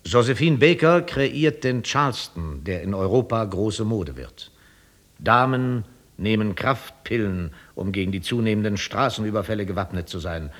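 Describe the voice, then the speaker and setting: An actor playing a chronicler who reports in formal, declarative documentary style within a radio drama. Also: male voice, fairly low pitch, expressive, normal speed. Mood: brisk reporting. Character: male newsreel-style chronicler of 1920s Germany.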